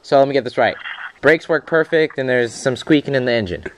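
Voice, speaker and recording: A man's voice: mid-range voice, a little fast, slight background noise. A man making a casual phone call, speaking conversationally. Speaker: prank caller.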